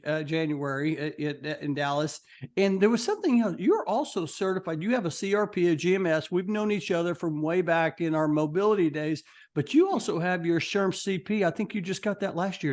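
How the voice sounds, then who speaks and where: Enthusiastic higher-voiced male podcast host. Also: a little fast. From Texas. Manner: enthusiastic, many fillers and restarts. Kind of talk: upbeat interview podcast.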